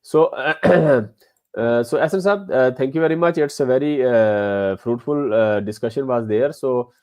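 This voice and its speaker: A man's voice: medium pitch, unhurried, a little mellow, dry recording. Guy hosting an interview, polite, lots of uh, dry close sound. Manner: polite, hesitant with fillers. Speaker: host.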